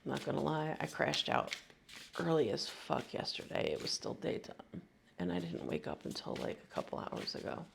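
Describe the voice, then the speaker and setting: Gravelly sniffly woman reading tarot. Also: a bass voice, very animated, moderate pace, a little hiss or hum. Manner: rambling, slangy, frequent fillers. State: sniffly from a cold. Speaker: tarot reader.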